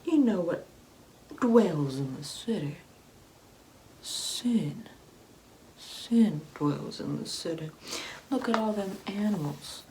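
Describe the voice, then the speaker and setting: A woman playing a sanctimonious, Bible-quoting Karen mother in an ASMR comedy roleplay, condescending and preachy, often dropping into a breathy whisper. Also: lowish voice, expressive, slow pace, pauses often, a little mellow, a little hiss or hum, low-quality recording. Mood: scolding, indignant.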